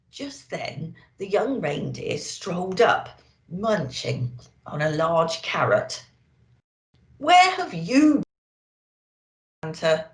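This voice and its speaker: A woman reads like a children's storyteller, theatrical and sing-song. Her pace is slow and her pitch swoops widely, in an echoey room. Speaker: children's storyteller presenting as an elf.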